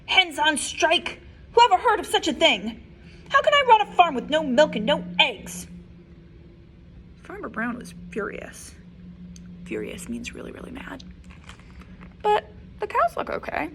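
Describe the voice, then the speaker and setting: A woman librarian reading a picture book aloud to children, expressive and animated, with soft whispered asides. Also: high voice, unhurried, pauses often, slight background noise. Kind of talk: children's story time read-aloud.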